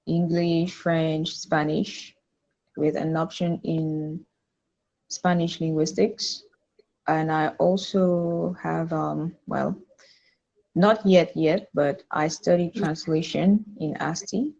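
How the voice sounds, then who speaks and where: Slow, even-toned young Cameroonian woman. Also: low-pitched, creaky, dull audio. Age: 20s. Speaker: podcast guest, student interpreter. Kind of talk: casual interview answers.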